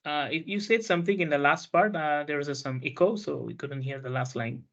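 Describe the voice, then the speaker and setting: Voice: medium pitch, fairly quick, a bit bright, dull audio. A student hosting an online panel, talking hesitantly with many fillers and restarts. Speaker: panel host and student speaker.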